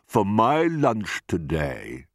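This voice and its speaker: A man's voice: lowish voice, huge pitch swings, extremely slow, gravelly, dry recording, studio quality, pitched above the performer's own voice, full character voice. A man voicing an enormous, greedy, boastful crocodile in a storybook, gloating and relishing each word.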